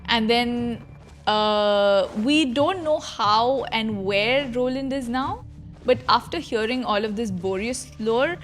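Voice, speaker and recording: Slightly high-voiced woman streamer, chatty. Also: slow, faint music behind. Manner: informal, chatty, swearing. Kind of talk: casual livestream reaction commentary.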